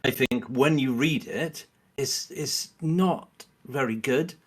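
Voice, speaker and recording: A man's voice: medium pitch, slow, husky. A man speaking as a podcast guest in a casual, analytical discussion, often rephrasing himself as he thinks aloud.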